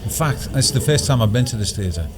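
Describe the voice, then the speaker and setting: A man's voice: mid-range voice, animated, brisk, crowd noise. An actor, a man, chatting effusively in a casual interview and repeating phrases for emphasis.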